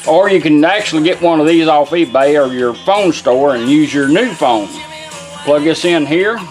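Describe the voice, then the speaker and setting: Guy casually showing off a product, kinda higher voice, radio music playing behind him. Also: expressive, moderate pace. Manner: folksy, plain-spoken. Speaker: radio restorer demonstrating a product. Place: indoor workbench demonstration. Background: car radio broadcasts and music.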